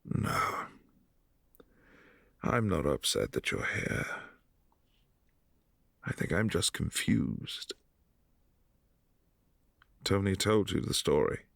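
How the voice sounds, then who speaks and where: A man playing a gravelly, brooding vampire prince. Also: big pitch swings, slow pace, pauses often, dry recording, exaggerated voice. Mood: wistful. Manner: low, hushed and intimate, slipping into whispers. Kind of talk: boyfriend ASMR roleplay.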